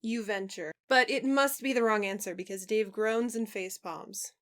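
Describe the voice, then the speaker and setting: A woman's voice: mid-range voice, normal speed, a bit bright. A woman reading fan fiction aloud as a podfic voice actor, narrating and acting out dialogue.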